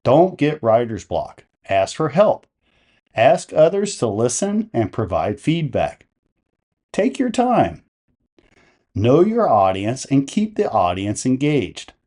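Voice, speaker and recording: Mellow, animated male tutorial host. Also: medium pitch. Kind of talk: step-by-step instructional narration.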